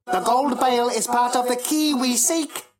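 A narrator voicing a solemn, patient, emotionless robot with a rasping, mechanical, deliberate delivery in an audiobook. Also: mid-range voice, voice pushed up, natural voice. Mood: solemn, flat. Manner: slow, deliberate, flatly literal.

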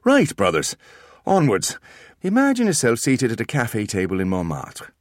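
A man's voice: medium pitch, wildly swooping pitch, moderate pace, dry recording, clean studio sound, voice pushed up. A man voicing a clever teenage boy patiently lecturing his little brothers.

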